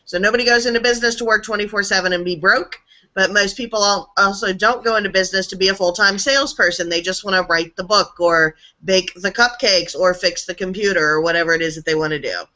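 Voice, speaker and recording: Hoarse woman on a video call, bright pushy tone, upbeat sales pitch, muffled lo-fi audio. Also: fast talker. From South Florida, US. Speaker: guest. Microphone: video call, not on camera.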